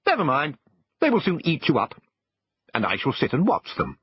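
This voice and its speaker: A man's voice: a little high, huge pitch swings, moderate pace, slightly rough, no echo, lo-fi sound, pitched above the performer's own voice, heavily acted. A man voicing an indignant, petulant little toy dog in an English accent for a storybook reading. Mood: spiteful. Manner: petulant, emphatic.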